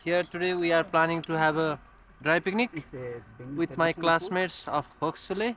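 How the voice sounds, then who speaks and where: A man's voice: a little high, fairly even intonation, moderate pace. A man from Nepal, a student, chatting eagerly at a picnic in a park. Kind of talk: casual chat. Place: outdoor city park. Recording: outdoor park with crowd chatter.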